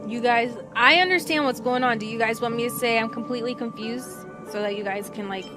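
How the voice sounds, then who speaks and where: A woman's voice: a little high, a little fast. A woman giving casual gameplay commentary, thinking aloud in a hesitant, rambling way. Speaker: game streamer. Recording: loud game music behind voice. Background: game music.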